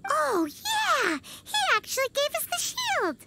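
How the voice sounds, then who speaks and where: Squeaky, extremely high little fairy. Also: female voice, huge pitch swings, unhurried, background noise, heavily acted. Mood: delighted. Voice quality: squeaky, childlike. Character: tiny childlike female fairy companion, cheeky and blunt. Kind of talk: English video game voice acting.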